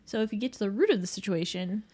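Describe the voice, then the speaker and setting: A woman's voice: mid-range voice, animated, fast talker, a little mellow. A young adult woman co-hosting a podcast, agreeable and chatty, often laughing along. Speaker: podcast co-host. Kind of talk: casual chat.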